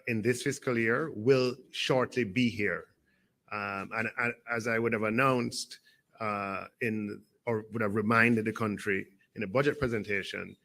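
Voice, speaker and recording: A man's voice: medium pitch, vocal fry, pushed and bright. A Jamaican man, a government minister, giving a press conference update and correcting himself as he explains.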